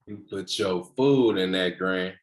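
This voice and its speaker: An actor playing an easygoing, affectionate young grandson and fiancé in a table read, speaking casually and a little hesitantly. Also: male voice, low-pitched, measured pace. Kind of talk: virtual table read acting. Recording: echoey room on a remote call.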